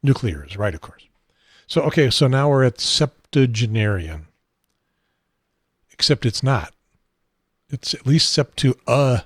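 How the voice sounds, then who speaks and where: Host, male, 70, American. Husky, somewhat low voice, dry close sound, halting unscripted delivery. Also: very animated, dry recording. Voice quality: husky, unsteady voice. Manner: rambling, halting, wry. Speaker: talk show host. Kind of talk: casual unscripted solo talk show.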